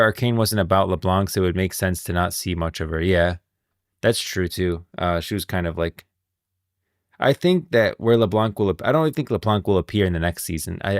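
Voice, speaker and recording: A man's voice: sits low, no room sound, clean studio sound. A man giving casual, opinionated live-stream commentary, thinking aloud with emphasis.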